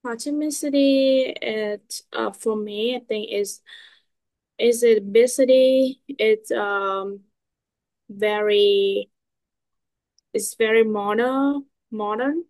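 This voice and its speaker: A woman's voice: a little high, slow pace, darkish tone, no room sound, low-quality recording. A woman from Vietnam with a Vietnamese accent, an English learner hesitantly searching for words in a one-on-one lesson.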